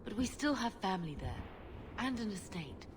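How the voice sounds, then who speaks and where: Casting: actress as anxious middle-aged mother, mid pitch, game cutscene with noisy ambience. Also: sing-song, normal speed, close to an everyday voice. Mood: pleading. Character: worried, weary middle-aged mother, fleeing refugee. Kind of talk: video game cutscene acting.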